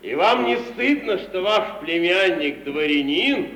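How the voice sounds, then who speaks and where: An actor playing a sardonic, blunt, sceptical country gentleman in 1950s Russian radio theatre, with a dry, curt, mocking delivery. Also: high voice, lively intonation, measured pace, creaky, reverberant, slight background noise, heavily acted, rough recording. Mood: scornful.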